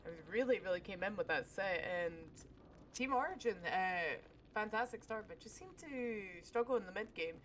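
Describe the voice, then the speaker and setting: A woman commentating a live esports match with quick, excitable play-by-play, her voice getting worn. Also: medium pitch. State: losing her voice. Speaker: esports caster. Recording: noisy stream audio.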